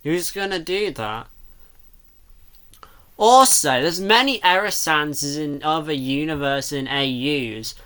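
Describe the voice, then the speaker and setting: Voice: mid-range voice, animated, slow, bright, forceful tone, clear, resonant tone. Someone giving casual reaction commentary on a video, rambling and second-guessing as they think aloud. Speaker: reactor commenting on a fan comic dub.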